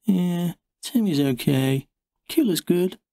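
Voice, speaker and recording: A man's voice: high-pitched, lively intonation, takes it slowly, creaky, mellow, no echo, studio quality, voice pushed up, exaggerated voice. A man voicing a casual, doubtful young boy in an audiobook story.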